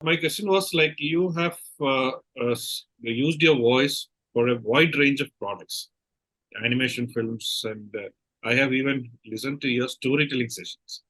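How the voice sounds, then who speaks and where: A male interviewer with an Indian accent leads the discussion. He speaks haltingly at a medium pitch and rephrases his questions. The sound is dry, with no room echo. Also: fairly bright tone. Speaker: interviewer, moderator. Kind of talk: question-and-answer moderating.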